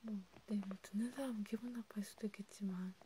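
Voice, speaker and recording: Woman, breathy whisper, hushed casual ASMR chat, dark soft tone, faint background noise. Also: medium pitch, fairly even intonation, quick pace. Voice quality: breathy, mostly unvoiced whisper. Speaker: ASMR roleplay creator.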